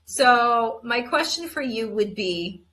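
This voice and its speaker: A woman's voice: a little high, expressive, slow, a bit bright, rough recording. A woman hosting an interview show, telling a rambling story and then giving an enthusiastic introduction of her guest. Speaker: host.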